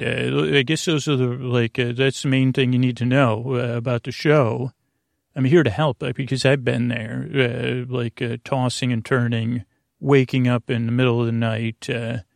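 A middle-aged American man with a gravelly voice, hosting a sleep podcast in a halting, rambling, soothing monologue that often trails off mid-thought. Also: mid-range voice, dry recording, studio quality. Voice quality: gravelly, creaky. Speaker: bedtime podcast host.

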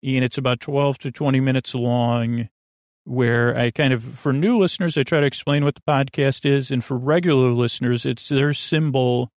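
A man hosting a bedtime podcast in a rambling, halting monologue full of digressions, with a gravelly, creaky voice. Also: medium pitch, steady tone, darkish tone, dry recording, studio quality. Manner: meandering, halting, full of digressions and trailing thoughts. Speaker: podcast host.

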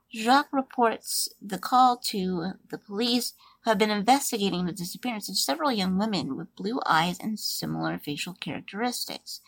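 A woman hosting a podcast, reading a steady plot recap and then chatting loosely with fillers. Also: mid-range voice, slightly rough, no echo, poor audio. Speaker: podcast host. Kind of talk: reading a plot summary, then casual commentary.

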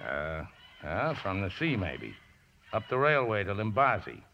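An actor playing a rough, easygoing riverboat mechanic in a 1950s radio drama, deferential and folksy, with ungrammatical speech. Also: male voice, lowish voice, huge pitch swings, measured pace, full character voice. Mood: worried, explaining. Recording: dull, noisy vintage radio broadcast.

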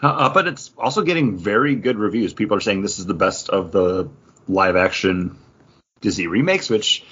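A man's voice: lowish voice, animated, normal speed, a bit bright, husky. A man co-hosting a podcast in a casual, conversational way, describing things as he chats. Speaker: podcast co-host. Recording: slightly muffled, lo-fi audio.

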